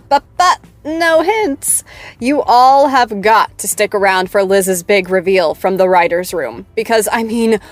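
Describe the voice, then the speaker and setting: A woman's voice: medium pitch, animated, no room sound, faint music behind. A woman acting as a bubbly horror after-show host, speaking playfully. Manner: bubbly, playful, drawn-out delivery.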